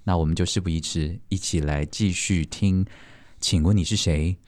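A man's voice: low-pitched, fairly even intonation, normal speed, darkish tone. A man hosting a podcast in a conversational style. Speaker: podcast host.

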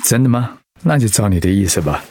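An actor playing a gentle, agreeable husband in frail health in a radio drama, with a mild manner. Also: male voice, on the low side, lively intonation, brisk, gravelly. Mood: gentle, pleased.

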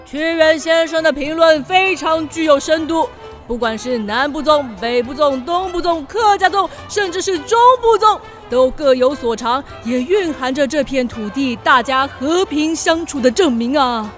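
A performer playing an excitable, showy contest emcee in a children's radio drama, announcing in an exclamatory, theatrical way. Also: high voice, moderate pace, soft background music. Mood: showy, upbeat.

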